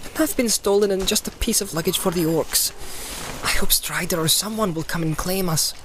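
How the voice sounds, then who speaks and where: A man's voice: sits high, moderate pace, background noise, pitched above the performer's own voice, lightly acted. A man voicing a small, frightened, self-pitying young halfling captive for a fantasy audiobook. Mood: miserable.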